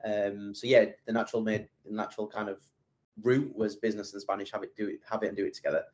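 A man's voice: fairly low pitch, fairly even intonation, fast, a little mellow. A man, a podcast guest chatting casually and rambling with plenty of fillers and restarts. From England. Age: 20s. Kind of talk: casual interview chat.

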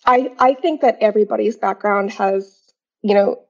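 Young woman, from Hong Kong, chatty with fillers, warm dull-sounding recording. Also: mid-range voice, normal speed. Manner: chatty, many fillers and restarts. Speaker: guest entrepreneur. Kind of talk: casual interview answers.

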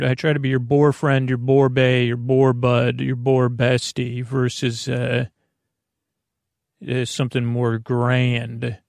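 A man hosting a bedtime podcast in a creaky, gravelly voice, rambling in a halting, meandering way and drifting into tangents mid-sentence. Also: mid-range voice, no room sound, studio quality. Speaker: podcast host. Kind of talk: rambling bedtime monologue for falling asleep.

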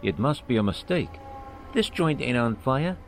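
A man's voice: medium pitch, sing-song, moderate pace, soft background music. An actor playing a tough, slangy, wisecracking gangster henchman in a 1940s-style radio play. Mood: dismissive, tough. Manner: slangy, tough-guy talk. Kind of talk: 1940s radio crime drama acting.

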